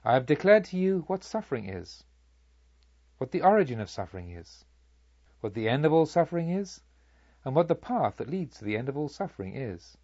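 Man voicing a serene, solemn sage, slightly husky. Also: a little high, very animated, darkish tone, dry recording, highs cut off. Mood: solemn teaching. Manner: measured, rhetorical, solemn teaching. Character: serene Buddha, male sage teacher, kindly and solemn. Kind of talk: audiobook character speech.

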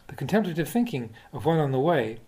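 A man, a Buddhist monk, reading spiritual teachings aloud in a measured, deliberate, instructive way, like an audiobook of a talk. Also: on the high side, sing-song, warm tone. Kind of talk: audiobook-style reading of a dharma talk with questions and answers.

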